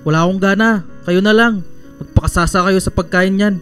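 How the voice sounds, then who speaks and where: A man's voice: high voice, brisk, fairly bright tone, faint music behind. An actor playing a belligerent, middle-aged drunkard father in a radio drama, sneering and menacing. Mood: sullen.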